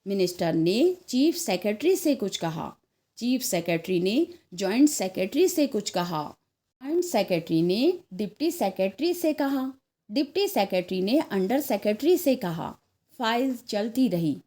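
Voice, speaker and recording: A woman narrating a scripted story expressively, in a measured way, as a storyteller. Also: mid-range voice, big pitch swings, warm tone. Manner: measured, acts out dialogue.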